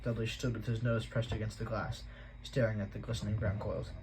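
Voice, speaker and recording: A man reading a novel aloud at a steady pace, with an occasional stumble he corrects. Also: on the low side, fairly quick, noisy background. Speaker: audiobook reader.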